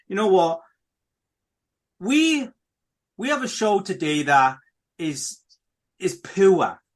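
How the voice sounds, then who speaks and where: Enthusiastic male host with a high voice, casual and rambling, keeps calling out to listeners. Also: a bit bright. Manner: chatty, repetitive, keeps addressing listeners. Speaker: podcast host. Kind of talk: casual radio chat.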